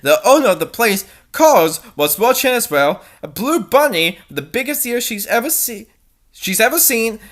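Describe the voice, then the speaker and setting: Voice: mid-range voice, sing-song, normal speed, a bit bright. A reader performing amateur fan fiction aloud with sarcastic commentary, in a theatrical way.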